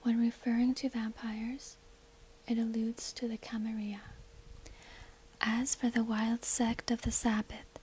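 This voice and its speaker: A woman whispering breathily in a hushed, deliberate ASMR narration, close to a binaural microphone. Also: fairly high pitch, flat delivery, slight background noise, low-quality recording. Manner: slow, deliberate, hushed delivery. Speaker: ASMR video host and shopkeeper.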